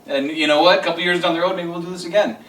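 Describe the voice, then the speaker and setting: A man's voice: high-pitched, fairly even intonation, very fast, a bit bright. A man hosting a casual group countdown chat in a jokey, informal way. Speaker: podcast host. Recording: echoey room, distant microphone.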